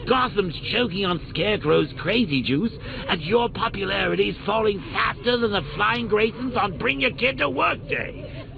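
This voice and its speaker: A man's voice: high-pitched, vocal fry, full character voice. An actor playing a sadistic, cackling male clown villain who taunts the hero with theatrical mockery in video game voice lines. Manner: mocking, theatrical, sing-song taunts and cackles. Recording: tinny lo-fi game audio with loud noise.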